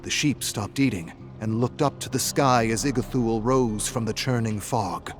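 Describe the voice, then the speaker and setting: A man's voice: mid-range voice, moderate pace, faint music behind. A man reading scripted horror fiction as a narrator, in a dramatic, suspenseful style.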